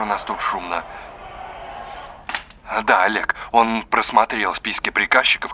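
A man's voice: medium pitch, gravelly, pushed and bright, exaggerated voice. An actor playing a courteous, erudite male art historian in an audio drama, explaining and quizzing like a teacher. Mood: pleased, businesslike.

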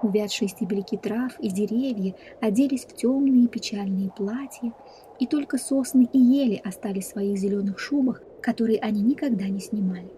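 A woman narrating a scripted fairy tale in a measured, expressive storyteller's manner. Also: medium pitch, slight background noise, low-quality recording.

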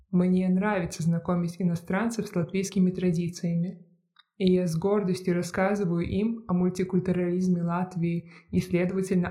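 A reader narrates a first-person essay in a steady, measured way. The voice stays low-key and mellow, with a narrow, level pitch that barely rises or falls. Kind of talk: scripted narration.

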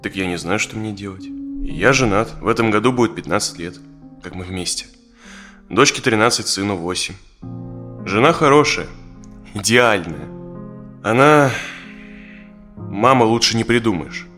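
A conflicted married professional of about forty in an audio play. His voice is on the low side, hesitant and confessional, with natural phrasing over soft plucked guitar music. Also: lively intonation, moderate pace, long pauses. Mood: uneasy, earnest. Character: conflicted married dentist of forty, confiding in a therapist. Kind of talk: naturalistic audio drama dialogue.

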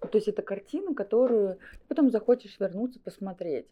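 A woman's voice: mid-range voice, animated, brisk, mellow. A woman giving her opinions conversationally as a director invited to an interview. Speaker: animation studio guest, director.

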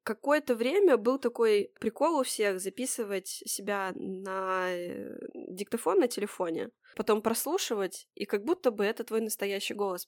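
A woman's voice: mid-range voice, dry recording, studio quality. A woman co-hosting a podcast, sharing personal recollections in a casual, hesitant, self-deprecating way. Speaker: podcast co-host.

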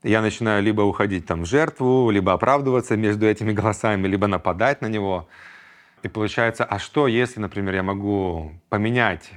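A man's voice: on the low side, fast talker. A man in his thirties hosting a solo podcast, talking reflectively and acting out his inner voices in quoted asides. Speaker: podcast host.

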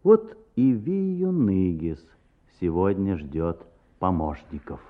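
A man playing the storyteller of a children's radio play, with dramatic, deliberate phrasing. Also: sits low, animated. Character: lively male storyteller of a children's tale. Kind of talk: scripted radio play narration. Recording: muffled, narrow-band old radio recording.

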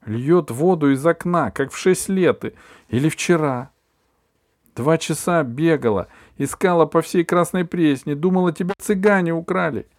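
A man's voice: fairly high pitch, normal speed, no room sound, clean studio sound, voice pushed up, natural voice. Man doing a stern scolding mother. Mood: exasperated. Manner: sharp, raised, emphatic scolding. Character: stern, exasperated mother scolding her son.

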